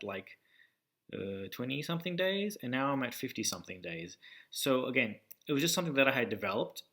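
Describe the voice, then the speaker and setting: A young man speaks to camera in a relaxed vlog about his language studies. His pitch is in the middle of the range for a man, and he stops often with ums and uhs while he works out his thoughts. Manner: hesitant, many ums and uhs, thinks aloud. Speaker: language learning YouTuber. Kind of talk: casual talking-head vlog.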